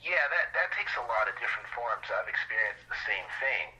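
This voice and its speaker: A man's voice: lowish voice, lively intonation, fairly quick, pushed and bright. A man giving reflective, conversational interview answers as a podcast guest, often hesitant and searching for words. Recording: thin, muffled phone or radio-like line.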